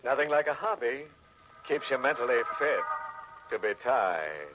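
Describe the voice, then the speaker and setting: An actor playing a sardonic, gleefully macabre host of a 1940s radio mystery, with droll, mock-polite delivery. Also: male voice, medium pitch, very animated, a little slow, over music, rough recording. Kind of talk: 1940s radio horror hosting. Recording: old radio recording, faint noise.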